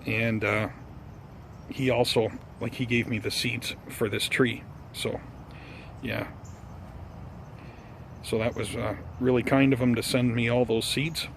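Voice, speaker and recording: A man hosting a casual gardening vlog outdoors, talking informally to viewers in an unhurried, hesitant way. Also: medium pitch, little pitch movement, creaky, slight background noise. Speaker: host of a bonsai gardening vlog.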